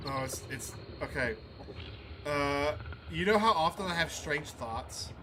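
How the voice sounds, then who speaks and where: Male let's play host, slightly high voice, halting casual read-alouds and muttered reactions, clear noise behind him. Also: animated. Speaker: gaming video host. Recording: clear background noise under the voice.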